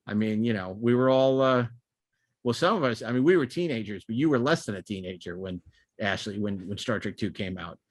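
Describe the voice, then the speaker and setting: A man's voice: lowish voice, expressive, a little fast, no room sound. A man hosting a podcast in casual, enthusiastic chat, rambling with repeated words and stop-start phrasing. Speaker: podcast host.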